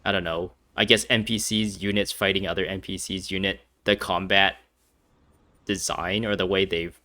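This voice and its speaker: A man with a low, bright voice commentates casually on a game. He rambles through his thoughts in an easygoing playthrough style, and the sound is dry and close. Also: little pitch movement, moderate pace, dry recording. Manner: rambling, laughs often while talking. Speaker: game streamer playing through a story quest.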